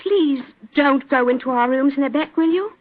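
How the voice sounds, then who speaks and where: Woman playing chirpy young london girl, bit anxious, high voice, tinny old radio play. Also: normal speed, close to an everyday voice. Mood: chatty, anxious. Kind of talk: 1940s radio drama acting. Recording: tinny, narrow 1940s radio recording.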